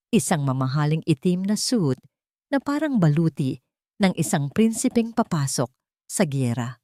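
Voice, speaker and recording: A woman reading a scripted romance story as narrator, with deliberate, dramatic phrasing. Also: on the low side, warm tone, no echo, clean studio sound.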